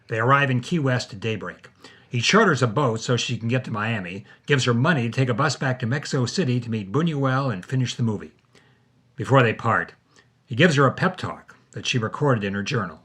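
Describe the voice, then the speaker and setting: A man's voice: medium pitch, expressive, a little fast, fairly bright tone, slightly rough. A man hosting and narrating a scripted drama podcast in a theatrical, playful, tongue-in-cheek way.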